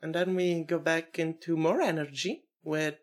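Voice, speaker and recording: A woman's voice: sits low, normal speed, a little hiss or hum. A woman in her twenties chatting casually as a podcast co-host, teasing and thinking aloud in hesitant loops. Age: 20s. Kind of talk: casual chat about records.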